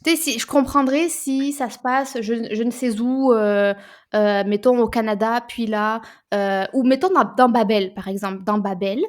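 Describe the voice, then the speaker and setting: A woman, co-hosting a casual book podcast, animated and often repeating words as she searches for them. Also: fairly high pitch, normal speed. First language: French. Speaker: podcast co-host.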